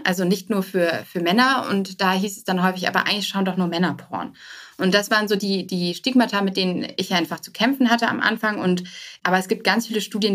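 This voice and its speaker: Woman guest on a podcast, medium pitch, explains stuff casually and rephrases as she goes. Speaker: guest, startup co-founder. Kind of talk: conversational podcast interview answers.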